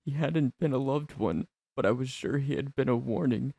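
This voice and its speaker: A man narrating a first-person horror story in a tense, dramatic manner with theatrical pauses. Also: mid-range voice, vocal fry, mellow, slightly rough, no room sound.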